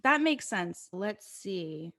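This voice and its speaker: A woman hosting a podcast, asking questions in an unhurried, hesitant way with many pauses and fillers. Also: medium pitch, sing-song, fairly bright tone. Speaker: podcast host. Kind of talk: casual podcast interview.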